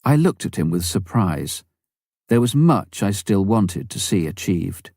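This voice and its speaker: A man's voice: on the low side, sing-song, a little slow, dark tone, no room sound, clean studio sound. A man reading a memoir aloud as scripted audiobook narration. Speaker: audiobook reader.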